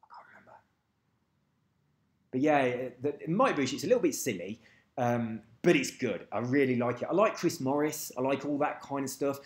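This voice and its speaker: A man's voice: medium pitch, normal speed. Rambling man in a roomy space. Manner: rambling, swears freely, loses track of names. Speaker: vlogger. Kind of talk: casual rambling chat to camera. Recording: echoey room.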